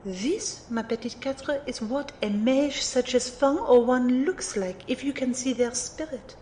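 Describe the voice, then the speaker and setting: In a roomy recording, an actress plays a refined female mentor of magic with a fairly high voice. She speaks formally and precisely, teasing like a playful teacher in an audio drama, and takes her time over every phrase. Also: a little slow, a little mellow. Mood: lecturing. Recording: roomy amateur recording, faint rumbling noise.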